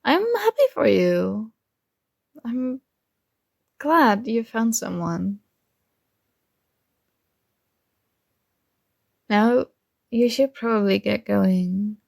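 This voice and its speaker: A woman playing a secretly jealous, teasing roommate in an intimate one-sided ASMR roleplay, with hesitant pauses. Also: medium pitch, expressive, gravelly, a little mellow, full character voice. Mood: resigned, hiding hurt. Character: secretly jealous female roommate, teasing, hurt but feigning indifference.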